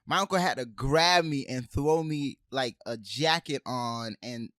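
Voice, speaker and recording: A young man from Haiti tells stories with lots of energy on a casual podcast, dropping "bruh" and "man" all the time. His voice is close-miked and dry, with a mid-range pitch. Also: measured pace, no room sound. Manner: animated storyteller, says "bruh" and "man" a lot. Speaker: podcast co-host. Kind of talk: casual chat.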